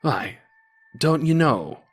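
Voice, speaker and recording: A man's voice: fairly high pitch, sing-song, slow, voice pushed up, exaggerated voice. Ominous, gossipy innkeeper, voiced by a man. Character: male innkeeper, gossipy bearer of dark local tales.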